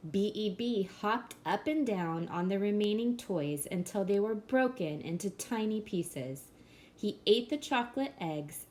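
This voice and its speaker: A woman reading a children's picture book aloud in a gentle storybook manner. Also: mid-range voice.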